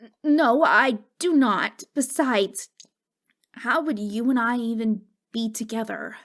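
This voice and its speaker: A woman playing a wistful, wounded ghost girl in an intimate ASMR roleplay, speaking in a halting, fragile, stammering way. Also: on the high side. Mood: jealous, defensive. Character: wistful, wounded ghost girl, long dead since wartime.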